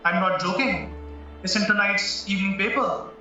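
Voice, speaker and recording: A man acting in an audio play as a stern, skeptical defence lawyer, questioning in a formal, measured way. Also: sits high, bright, forceful tone. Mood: grave, skeptical. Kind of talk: stage-style audio drama acting. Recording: very echoey, muffled, distant, music underneath.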